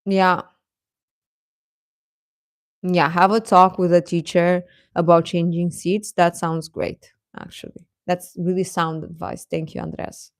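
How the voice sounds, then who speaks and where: A woman's voice: on the low side, little pitch movement, slow, dry recording, studio quality. A woman giving casual, reassuring advice as she chats with her livestream viewers in an encouraging way. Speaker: livestream host talking with young viewers.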